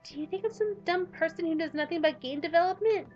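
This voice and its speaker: A woman voicing a bubbly, eager young schoolgirl in a high, chirpy, squeaky voice. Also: fast talker, darkish tone, lo-fi sound, soft background music. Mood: teasing.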